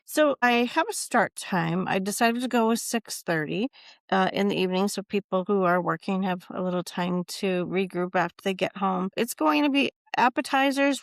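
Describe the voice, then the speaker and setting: A woman's voice: medium pitch, gravelly, dry recording, studio quality. A woman co-hosting a podcast, chatting in an upbeat way and laughing often. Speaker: podcast co-host. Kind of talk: casual chat. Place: Midwest.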